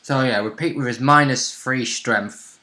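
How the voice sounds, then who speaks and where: A man's voice: medium pitch, measured pace, bright, forceful tone. A man chatting casually as a player about a tabletop role-playing game. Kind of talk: casual chat recapping a game session. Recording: roomy, echoey indoor recording.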